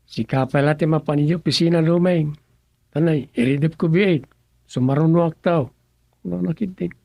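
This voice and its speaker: A husky, creaky voice for a scheming village husband in a comic radio serial. He speaks with an Ilocano Filipino accent and makes his excuses in a dry, close sound. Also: on the high side, moderate pace, warm tone, no echo. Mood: sly muttering.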